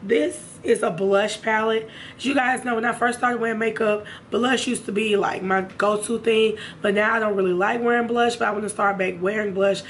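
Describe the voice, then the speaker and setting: A young woman with a raspy, hoarse voice, chatting casually to her viewers about makeup in a room at home. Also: mid-range voice, a little hiss or hum. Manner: chatty, addresses viewers directly. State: hoarse from a sinus infection. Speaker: beauty vlogger. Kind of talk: casual makeup haul chat.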